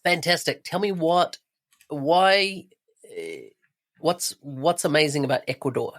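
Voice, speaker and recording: A man's voice: on the high side, a little slow, fairly bright tone. A man hosting a podcast, asking upbeat, friendly questions. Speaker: podcast host. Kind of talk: podcast interviewing.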